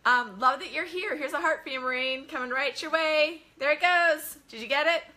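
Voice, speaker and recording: A woman's voice: high-pitched, moderate pace, bright, forceful tone. A woman hosting a casual live bedtime-reading stream as a children's author, chatting to viewers in a gushing, enthusiastic way. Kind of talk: casual livestream chat with viewers.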